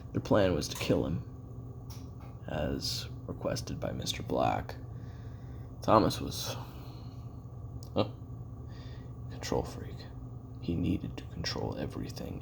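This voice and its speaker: A man playing a weary, regretful ex-assassin in an audio roleplay, recording his memoirs in a halting, confessional, musing way with a gravelly voice. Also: very deep voice, a little mellow, a little hiss or hum, exaggerated voice. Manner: halting, musing, trailing pauses and self-corrections.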